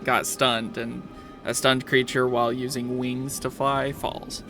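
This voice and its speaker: A man's voice: mid-range voice, little pitch movement, unhurried. A man acting as game master, narrating a tabletop roleplaying game in an informal, matter-of-fact way. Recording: music behind the voice. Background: music.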